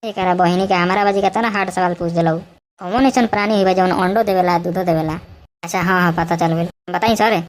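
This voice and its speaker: A woman's voice: fairly low pitch, steady tone, brisk, lightly acted. Woman voicing a cheeky Bhojpuri schoolboy. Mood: brash. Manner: protesting, brash, colloquial. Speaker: voice actor. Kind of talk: cartoon comedy dialogue.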